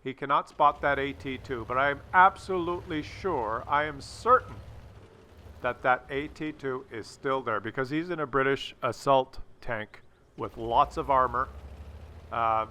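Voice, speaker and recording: A man's voice: mid-range voice, unhurried, pushed and bright. A man hosting a gaming video with casual, wry and sarcastic replay commentary. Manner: wry, sarcastic, teasing, crude joking. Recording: faint game noise behind voice.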